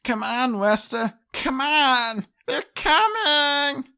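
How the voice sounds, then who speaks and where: A woman's voice: sits high, very animated, very slow, sharp tone, clear, resonant tone, heavily acted. A woman voicing a young, loyal little female pony who pleads desperately in an audiobook drama. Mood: panicked urging. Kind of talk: audiobook character acting.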